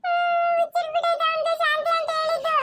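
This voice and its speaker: A very high-pitched whiny little girl. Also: little pitch movement, quick pace, very noisy. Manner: whining, tearful complaining.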